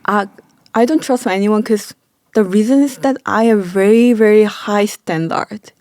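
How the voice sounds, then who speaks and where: Woman clinic owner, mid pitch, loose broken English, dry sound. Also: measured pace, darkish tone, dry recording. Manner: simple, broken English with many "like" fillers and frequent laughter. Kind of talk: casual interview chat.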